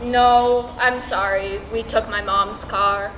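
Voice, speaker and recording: A woman playing a modest, mild and polite high school girl in an amateur stage play. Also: fairly even intonation, slow, fairly bright tone. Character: modest, well-liked high school homecoming queen. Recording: echoey stage with clear noise.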